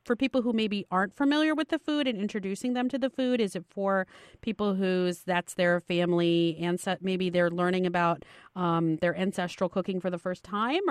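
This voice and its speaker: Woman, radio host, smooth clear announcing, dry close studio sound. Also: mid-range voice, steady tone, brisk, dry recording. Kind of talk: radio talk show hosting.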